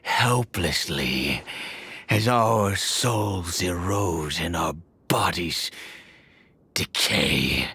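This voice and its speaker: Embittered immortal noble, grieving father, video game drama, theatrical, anguished, halting, slow pace, highly animated intonation. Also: breathy edge, exaggerated voice. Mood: bitter, anguished.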